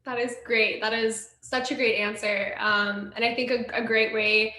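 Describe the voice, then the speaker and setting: Woman moderator, bright clear voice, gracious thanks, roomy echo. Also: a little high, level tone, normal speed, slight background noise. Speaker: event organizer and moderator. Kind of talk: moderating a panel. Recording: echoey room sound.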